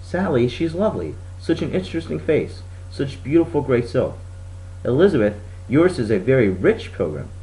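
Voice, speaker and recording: A man voicing a kind but firm female schoolteacher in a storybook reading, speaking with deliberate emphasis. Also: mid-range voice, sing-song, dark tone, lightly acted, rough recording. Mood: admiring.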